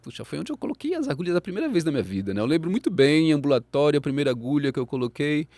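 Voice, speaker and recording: A man in his fifties with a Brazilian Portuguese accent, an acupuncturist telling his story in a casual interview, lively and full of tag questions. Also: fairly high pitch, very fast, no room sound. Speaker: acupuncturist and psychologist guest.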